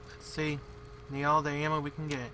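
A man's voice: a little high, monotone, a little fast, pushed and bright, slight background noise. A man giving casual, off-the-cuff video game commentary, muttering to himself in short fragments. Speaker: gamer, let's player.

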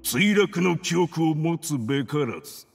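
A proud, grim fanatic commander from a desert video game. His gravelly voice swings widely in pitch as he declaims like a battlefield prophet, ringing out in long reverb over music. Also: animated, unhurried. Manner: theatrical, declamatory, rough-spoken. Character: defiant male desert fanatic warband leader, grim and proud. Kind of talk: dramatic video game voice acting.

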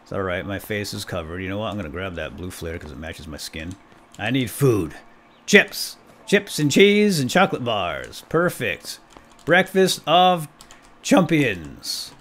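A man's voice: on the low side, big pitch swings, measured pace, a bit bright. A man giving casual let's-play commentary, playfully talking to himself with mild folksy exclamations. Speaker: gaming YouTuber, let's-play host.

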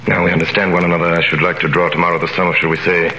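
An actor playing a cool, wealthy, mysterious nobleman in a 1930s radio drama, suave, measured and quietly superior. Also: low voice, level tone, a little hiss or hum. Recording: echoey vintage radio recording with music.

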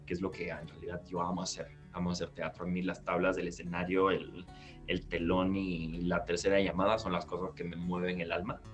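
A man, an actor recounting his career in an interview over a video call from a bright living room. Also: fairly low pitch. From Peru. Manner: animated, gestures while recounting, restarts phrases. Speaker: guest actor. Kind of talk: storytelling interview answer. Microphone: laptop webcam on video call.

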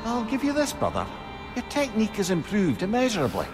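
An actor playing a witty companion and adviser in a video game, with a wry, familiar, chatty manner. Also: male voice, sits high, wildly swooping pitch, brisk. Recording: game music behind the dialogue.

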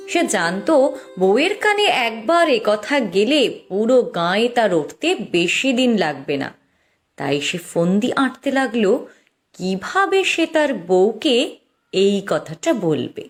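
A woman's voice: mid-range voice, sing-song, a little slow, faint music behind. A woman narrating a scripted story dramatically, drawing out the ends of her phrases.

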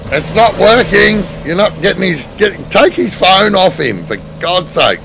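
Hoarse, gravelly Australian man streaming. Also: high voice, unhurried, background noise. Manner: excitable, thanking chat viewers. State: hoarse, losing his voice. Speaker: livestreamer. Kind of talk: live game stream chat. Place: indoor room at a desk. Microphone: headset.

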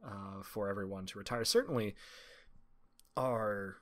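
A man with a fairly low voice runs a tabletop game. He narrates the scene haltingly as he improvises, with a wry touch. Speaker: game master.

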